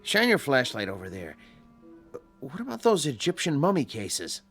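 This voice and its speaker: A composed, commanding doctor in a radio drama. He directs in measured phrases, with animated pitch and faint music behind. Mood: calm, commanding. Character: calm, authoritative male psychiatrist. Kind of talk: old-time radio drama acting.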